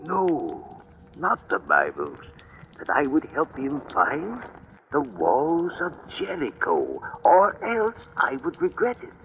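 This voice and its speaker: Halting, frightened old priest. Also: male voice, a little high, wildly swooping pitch, a bit bright, soft background music, heavily acted. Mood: anxious, fearful. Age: older. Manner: halting, stammering, anxious. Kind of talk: 1940s radio acting. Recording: dull, noisy old radio broadcast.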